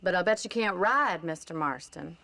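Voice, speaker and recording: A woman's voice: on the low side, expressive, normal speed, vocal fry, pushed and bright, heavily acted. An actress playing a spirited young American ranch woman in a video game, forthright, wry and playful. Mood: teasing. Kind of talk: video game cutscene acting.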